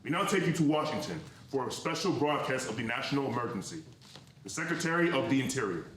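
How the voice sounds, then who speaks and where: A man's voice: mid-range voice, lively intonation, fast, fairly bright tone, natural voice. A man acting as a solemn, formal radio news announcer in a 1930s-style drama. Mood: grave, urgent. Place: live theatre stage. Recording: very echoey, distant mic, faint noise.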